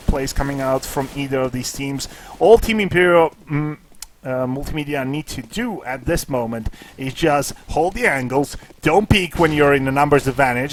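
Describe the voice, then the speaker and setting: A man casting a live esports match, excitable at big plays, with casual, jokey asides. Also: noisy background. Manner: excitable, rises in pitch and volume at big plays. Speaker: esports caster. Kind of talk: live play-by-play commentary.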